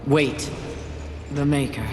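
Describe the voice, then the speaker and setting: A woman's voice: rumbling low, fairly even intonation, slow. A female actor playing a proud, impatient horseman of the apocalypse in a fantasy game, curt, haughty and combative. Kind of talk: dramatic fantasy video game acting. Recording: echoing reverb under game music.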